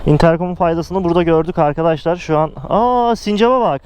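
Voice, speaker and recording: A man's voice: a little high, brisk, a little mellow. A man talking casually to viewers and friends as a motorcycle vlogger, hosting the video. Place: outdoors, on a motorcycle and at a windy roadside. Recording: noisy outdoors, wind and engine noise.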